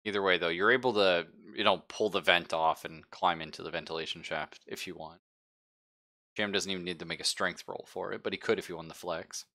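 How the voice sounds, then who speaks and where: A man's voice: low-pitched, steady tone, fairly quick, pushed and bright. A man running a tabletop roleplaying game as game master, narrating casually with a jokey, sweary manner.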